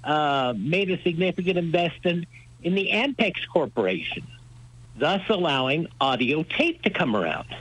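A man's voice: a little high, sing-song, normal speed, a bit bright, rough recording. An older American man telling stories in a radio interview, with an emphatic, folksy way of putting things. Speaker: radio show host being interviewed as guest. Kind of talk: conversational radio interview, storytelling.

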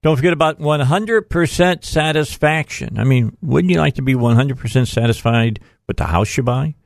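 A man's voice: mid-range voice, moderate pace, creaky, dry recording, studio quality. A man hosting a radio talk show in a conversational style.

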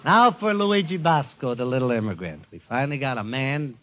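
A man presenting a show to a studio audience in an emphatic, informal, jokey warm-up style, on a 1940s radio recording. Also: mid-range voice, animated, fairly quick, highs cut off, a faint crowd. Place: before a live studio audience.

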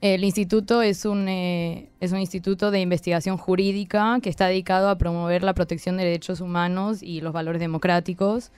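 A woman in her thirties from Argentina, with an accent mixing Argentine and Spanish, explaining her work as a guest lawyer in measured, hesitant answers. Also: fairly low pitch, steady tone, darkish tone, clear, resonant tone, dry recording, soft background music. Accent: mixed Argentine and Spanish. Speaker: interview guest, human rights lawyer.

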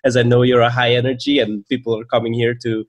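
A man's voice: medium pitch, flat delivery, quick pace. A young man from Belgium with a Belgian accent, a podcast guest telling his story in a friendly way, laughing often. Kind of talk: casual interview storytelling.

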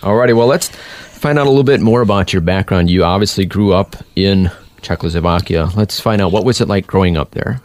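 A man's voice: low voice, brisk, few pauses, a little mellow. A male host interviewing guests, upbeat and prompting with short questions. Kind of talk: interview hosting.

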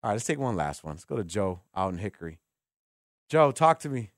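An American man hosting sports talk radio, talking with callers in an informal, animated, conversational way. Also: fairly low pitch, a little fast, no echo, clean studio sound. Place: radio studio. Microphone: close broadcast microphone.

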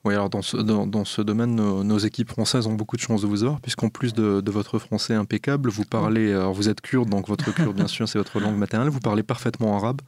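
A man hosting an interview, asking measured questions and repeating words as he speaks. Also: fairly low pitch, fairly even intonation, darkish tone, dry recording. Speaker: interviewer, host.

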